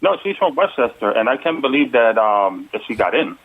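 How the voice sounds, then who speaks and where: A man with a Puerto Rican accent, calling in as a political candidate. He talks earnestly but haltingly, pausing on fillers. The sound is thin and phone-like, at a mid pitch. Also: very animated, slightly rough. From United States. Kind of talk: phone-in interview. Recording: tinny phone line.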